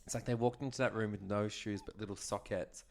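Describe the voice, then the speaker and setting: An Australian man in casual, gossipy podcast chat, agreeable and quick to chime in. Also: fairly low pitch, fairly even intonation, creaky. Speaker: podcast co-host.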